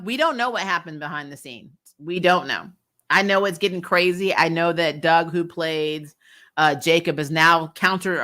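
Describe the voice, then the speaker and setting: A woman hosting a fan recap show, giving casual, opinionated TV commentary in a blunt, sweary way. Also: lowish voice. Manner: blunt, sweary, animated mock-quoting.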